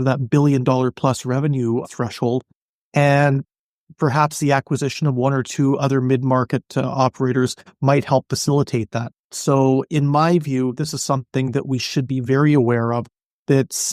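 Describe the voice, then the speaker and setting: A man giving explanatory interview answers as an expert podcast guest, articulate, measured and businesslike. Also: mid-range voice, a little mellow, dry recording, studio quality. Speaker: podcast guest, industry analyst.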